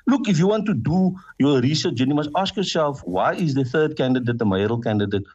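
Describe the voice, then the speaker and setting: South African male councillor, husky, pleading and emphatic, muffled lo-fi audio. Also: on the high side, lively intonation, fairly quick, a little mellow. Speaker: city councillor and party leader. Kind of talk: radio interview, political appeal.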